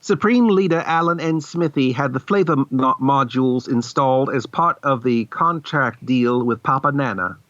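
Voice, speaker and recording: A man's voice: medium pitch, normal speed, hardly any pauses, fairly bright tone, dry recording, muffled recording, close to an everyday voice. A man playing a matter-of-fact female spaceship computer who recites things formally, in a comedy radio play. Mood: deadpan. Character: female ship computer co-host, matter-of-fact life-pod AI.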